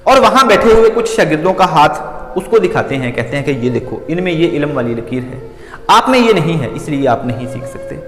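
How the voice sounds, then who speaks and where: Echoey, highly animated male storyteller. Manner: emphatic, repeats phrases in parallel for effect. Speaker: motivational storyteller. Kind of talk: scripted moral storytelling narration, addressing listeners as friends. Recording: echoey long reverb with music behind. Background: music.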